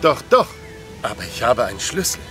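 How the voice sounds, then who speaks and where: An actor playing a doting, eager, jokey suitor in an audio drama, with a gushing, playful manner. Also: male voice, mid-range voice, very animated, fairly quick, bright, forceful tone, faint music behind. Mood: teasing. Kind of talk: German audio drama acting.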